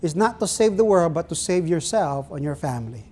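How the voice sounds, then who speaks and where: A man's voice: a little high, expressive, dark tone, slight background noise. A middle-aged man from the Philippines with a Filipino accent, preaching an earnest sermon from a pulpit in a small church and putting questions to his listeners. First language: Ilonggo. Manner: earnest preaching, drawn-out phrases, asks the congregation questions. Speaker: pastor.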